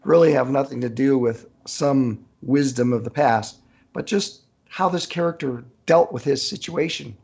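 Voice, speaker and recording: Gravelly, halting man talking casually. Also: medium pitch, darkish tone. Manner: halting, searching for words, easygoing. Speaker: author being interviewed. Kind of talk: casual conversational storytelling.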